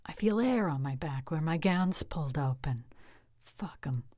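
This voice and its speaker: A woman reading a short story in the first person as a weathered, frightened, bitter psychiatric patient in custody, with emotive delivery that turns hushed. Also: low voice, expressive, measured pace, no echo, clean studio sound. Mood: resigned, bitter. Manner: emotive, hushed at fearful moments.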